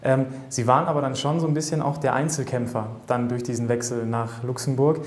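A man's voice: medium pitch, steady tone, fairly quick. A man in his thirties interviewing a sports guest, asking friendly, chatty questions with frequent fillers. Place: bright office room with plants. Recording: roomy office echo.

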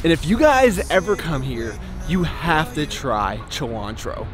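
A man's voice: fairly high pitch, sing-song, unhurried, loud music behind the voice. Upbeat chatty man in his twenties. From Texas. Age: 20s. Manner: upbeat, chatty, joking asides to viewers. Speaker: fitness vlogger. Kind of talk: casual vlog talk to camera. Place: gym, outdoors walking, home kitchen. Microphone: camera mic, handheld at arm's length.

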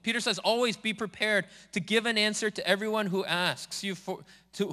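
A man preaching a sermon as a pastor, speaking earnestly in a steady, repeating preaching cadence. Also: sits high, big-room sound. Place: church sanctuary.

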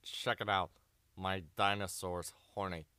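A man's voice: low voice, flat delivery, measured pace, pushed and bright, clear, resonant tone, dry recording, pitched below the performer's own voice. A man voicing a cocky, crude, dim duelist from an anime in an impression.

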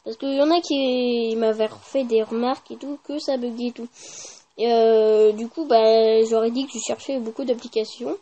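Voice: mid-range voice, steady tone, moderate pace, warm tone. A gaming YouTuber giving casual, informal gameplay commentary with slang and swearing.

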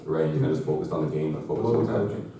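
Deep-voiced man in his twenties, echoey room. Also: rapid-fire, warm tone. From Canada. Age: 20s. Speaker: basketball player. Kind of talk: casual classroom Q&A. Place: classroom, standing at the front. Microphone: far camera. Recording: echoey classroom from far off.

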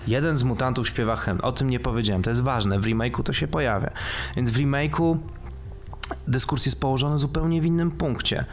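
Man, mid-pitched voice, casual film discussion, co-host. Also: a little fast, a little hiss or hum.

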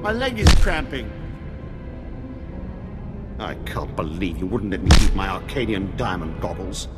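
An actor playing a pompous, cowardly, whining Imperial official in a video game, haughty and fussy. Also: male voice, deep voice, sing-song, a little slow. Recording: long hall reverb, music behind.

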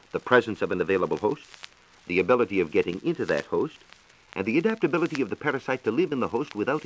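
A hissy 1940s transcription disc carries an American man with a fairly low voice. He lectures formally as a radio science reporter, with lively swings of pitch and declamatory phrasing. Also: poor audio. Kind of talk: 1940s scripted educational radio talk. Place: radio studio.

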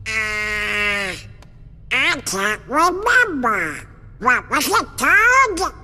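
A man's voice: very high voice, sing-song, drawn-out words, bright, forceful tone, full character voice. A man voicing a hot-tempered male cartoon duck in a video game, with a slurred, buzzy, quacking squawk. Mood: puzzled, bragging. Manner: blustery, emphatic. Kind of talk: video game cutscene voice acting. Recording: long hall reverb, music behind.

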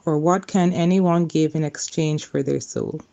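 Woman doing a bible reading, deep mellow voice, bit muffled. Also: steady tone, measured pace. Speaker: scripture reader.

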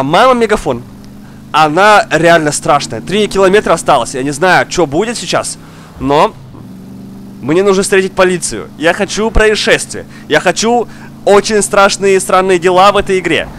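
High-pitched, animated young man gaming, slightly sick. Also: slight background noise. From outside Russia. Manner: animated, exclaiming, repeats words, talks to viewer. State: slightly ill, coughing now and then. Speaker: gaming streamer. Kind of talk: excitable casual gameplay commentary. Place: indoor room, seated at racing wheel.

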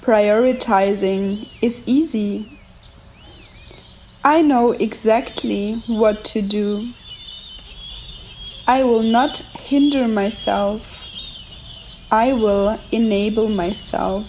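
A woman narrating a scripted guided meditation with affirmations, in measured short phrases separated by long pauses. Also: mid-range voice, darkish tone, a little hiss or hum. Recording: nature ambience behind the voice. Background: nature and ambient sounds.

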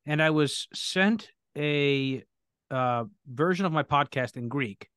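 A man's voice: on the high side, slow, clean tone, dry recording, studio quality. A man hosting a live podcast Q&A, talking energetically and often restarting his sentences. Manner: energetic, self-correcting with restarts. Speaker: podcast host. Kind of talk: live Q&A conversation.

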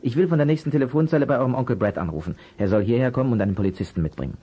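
An actor playing a calm, clever private detective in a children's radio play, explaining things patiently and in measured steps. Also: male voice, mid-range voice, breathy edge, close to an everyday voice. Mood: calm, reassuring.

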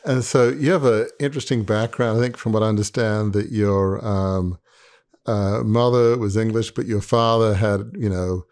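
A man's voice: fairly low pitch, steady tone, moderate pace, a little mellow. A man in his sixties hosting an interview, asking long rambling questions with many fillers over a video call. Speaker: podcast host. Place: home office. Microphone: earbuds on a video call.

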